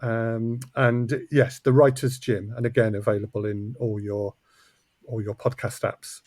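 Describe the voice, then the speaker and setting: A man's voice: mid-range voice, flat delivery, unhurried, a little mellow, dry recording. A man speaking casually as an interview guest on a podcast. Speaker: guest, audio drama co-creator.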